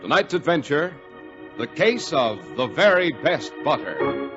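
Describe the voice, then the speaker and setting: A man's voice: fairly high pitch, very animated, a little slow, loud music behind the voice. An American man announcing in scripted 1940s radio style, with a polished, emphatic sales delivery. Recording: dull vintage 1940s radio recording.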